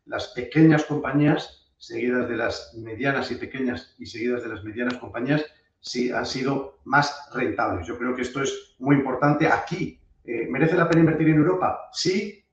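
A man's voice: medium pitch, normal speed. A man from with a cold, presenting an investment webinar, hesitant with many fillers and restarts. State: hoarse from a cold. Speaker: webinar speaker, fund manager. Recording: distant, echoey, muffled.

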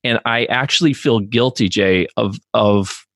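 Earnest low-voiced man, dry sound. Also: measured pace, fairly bright tone, no echo. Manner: earnest, heartfelt, self-deprecating. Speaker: podcast guest. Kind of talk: casual interview conversation.

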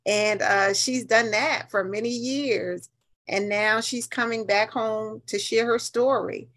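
A woman's voice: on the high side, fairly even intonation, slow, fairly bright tone. A woman in her fifties with an American accent, telling stories casually and emphatically as an interview guest. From New Haven, United States. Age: 50s.

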